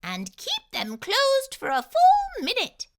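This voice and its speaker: A woman's voice: very high voice, wildly swooping pitch, takes it slowly, pitched above the performer's own voice, exaggerated voice. A woman voicing a small, proud male mouse dentist in a children's storybook, speaking emphatically and precisely. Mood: polite, instructing. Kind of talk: children's storybook read-aloud.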